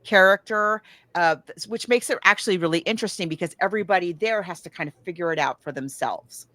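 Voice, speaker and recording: A woman's voice: on the low side, quick pace, pushed and bright. An American woman co-hosting a podcast, telling stories in a casual, conversational way and often backtracking as she goes. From United States. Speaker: podcast co-host. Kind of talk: casual book and film discussion.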